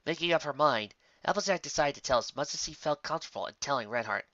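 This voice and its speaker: A man reading scripted story narration as an amateur, expressive and occasionally stumbling over the text. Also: fairly high pitch, fast, no room sound, dull audio.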